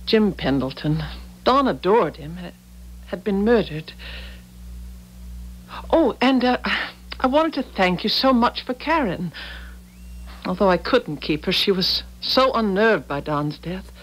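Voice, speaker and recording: Slow-spoken, effusive society woman. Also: lowish voice, rough recording. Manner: polite, effusive, halting in disbelief. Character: chatty society woman on the telephone, easily astonished. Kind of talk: 1970s radio drama acting. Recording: faint noise, old radio broadcast.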